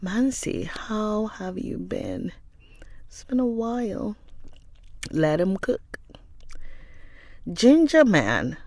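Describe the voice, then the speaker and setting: A woman with a stuffy, nasal voice from a cold, chatting warmly with livestream viewers and calling them darling, then reading a novel aloud. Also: medium pitch, sing-song, very slow, pauses often, no room sound. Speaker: livestream book reader.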